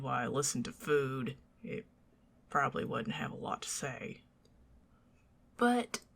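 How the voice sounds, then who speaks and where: A woman with a Southern accent voicing a shy, affectionate young dragoness on a dinner date, talking softly and often slipping into whispers, joking at her own expense. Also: sits low, creaky, heavily acted. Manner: soft, intimate, drifting into whispers; self-deprecating, joking, flustered. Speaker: ASMR performer. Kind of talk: ASMR roleplay.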